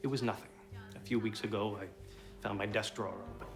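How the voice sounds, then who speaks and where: A gravelly-voiced, middle-aged bank manager played by a man, formal and defensive. He clips his words stiffly, in period TV drama style, with music playing clearly behind him. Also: lowish voice, flat delivery, fast, natural voice. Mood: irritated. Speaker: actor.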